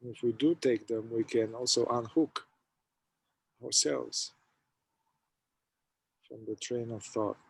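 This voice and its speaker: A man, a somatic therapist, leading a guided meditation, speaking slowly and softly in short phrases with long pauses. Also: mid-range voice, fairly even intonation, vocal fry, slight background noise, low-quality recording. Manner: slow, soft-spoken, with long pauses between phrases.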